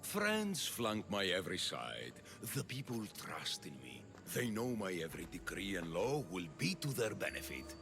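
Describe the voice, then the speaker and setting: An actor playing a smug, scheming Athenian politician in a video game, oratorical, menacing and condescending. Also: male voice, low-pitched, wildly swooping pitch, normal speed, gravelly, hardly any pauses, noisy background, exaggerated voice. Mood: smug, persuasive. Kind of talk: dramatic video game cutscene acting. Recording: music behind the voice.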